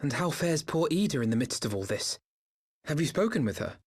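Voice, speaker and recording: A man acting a courteous, learned and gravely concerned comrade in a video game cutscene, with formal, eloquent phrasing. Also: fairly high pitch, very animated, a little fast.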